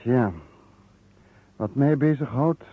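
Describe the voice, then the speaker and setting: Methodical investigator, medium-pitched, deliberate, narrow-band vintage radio drama sound. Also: male voice, breathy edge, soft background music. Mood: explanatory, earnest. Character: earnest, methodical male Italian police investigator. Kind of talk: old Dutch radio detective drama acting.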